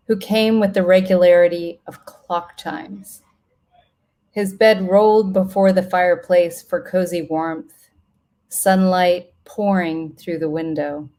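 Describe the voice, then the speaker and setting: A middle-aged woman reads a reflective personal letter aloud in a solemn, tender, measured way. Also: fairly low pitch, darkish tone. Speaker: writer reading own letter aloud.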